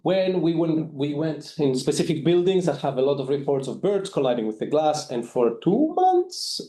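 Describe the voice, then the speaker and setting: A man giving a presentation as a volunteer, speaking haltingly with frequent fillers. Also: mid-range voice, dark tone, roomy sound. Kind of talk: presentation talk.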